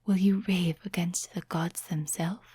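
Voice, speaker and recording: A woman voicing an ancient, seductive demon in whispered ASMR roleplay, slow, teasing and condescending, with a breathy voice close to the microphone. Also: lowish voice, fairly even intonation, dark tone, dry recording. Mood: intrigued, taunting. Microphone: close, dry microphone.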